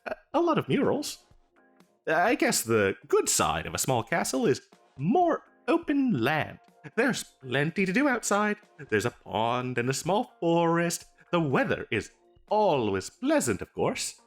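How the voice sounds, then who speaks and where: A man's voice: fairly high pitch, huge pitch swings, a little slow, soft background music, exaggerated voice. A man playing an eager, pushy wizard salesman in a comedic fantasy roleplay, chatty and stammering through his sales patter. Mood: chatty, selling.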